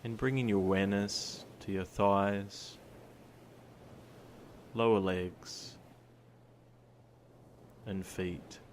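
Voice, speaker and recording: A man guiding a meditation in a calm, soothing, gentle manner, with long pauses between his instructions. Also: on the low side, a little hiss or hum. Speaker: meditation guide. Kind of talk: guided body scan meditation. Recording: surf sounds behind the voice. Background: ocean surf ambience.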